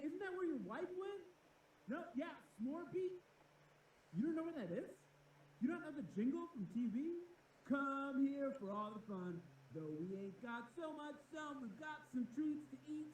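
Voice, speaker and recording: A man's voice: extremely high pitch, big pitch swings, measured pace, reverberant, far from the mic, slight background noise, low-quality recording. A man playing a VR game aloud, chatting, pleading and joking with the characters. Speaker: video game player and host.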